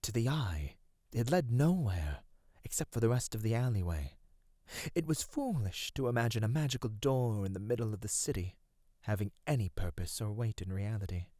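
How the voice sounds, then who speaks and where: A man giving a measured, theatrical and formal storybook narration for an ASMR roleplay. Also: lowish voice, animated, mellow, no echo, studio quality.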